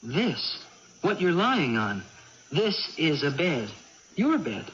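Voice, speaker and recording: Gentle young brother, high lively voice. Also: male voice, takes it slowly, heavily acted. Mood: patient. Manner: coaxing, tender, simple explanations. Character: gentle, devoted young brother, earnest and patient. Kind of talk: 1980s radio drama acting. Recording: muffled old radio broadcast, faint noise.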